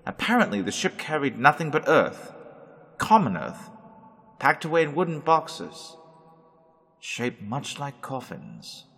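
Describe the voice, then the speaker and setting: A man narrating a radio drama in a slow, ominous storytelling manner. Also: mid-range voice, very animated, vocal fry, fairly bright tone, slightly rough, big-room sound.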